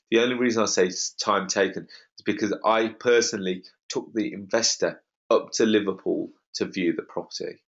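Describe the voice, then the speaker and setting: A slightly croaky man pitches deals to a webinar audience with confident, salesy emphasis. His voice sits fairly low. The call audio is dull and lo-fi. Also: a bit bright. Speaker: webinar host. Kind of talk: online property webinar talk.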